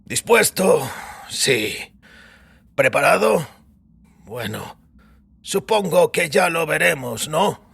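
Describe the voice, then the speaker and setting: A man voicing a weary, ceremonious old priest in audio fiction, raspy and creaky, slow and savoring as he instructs. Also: on the high side, animated, a bit bright, pitched above the performer's own voice, exaggerated voice. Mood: grave, hesitant. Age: older.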